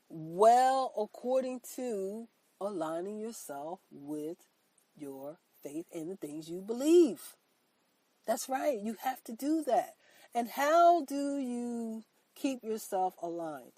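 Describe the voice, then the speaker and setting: Woman host, mellow stuffy voice from a cold, earnest repetitive motivational talk, dry close sound. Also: medium pitch, no room sound. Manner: earnest, repetitive, emphatic preaching; affirming herself with "that's right". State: congested from a cold. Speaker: podcast host.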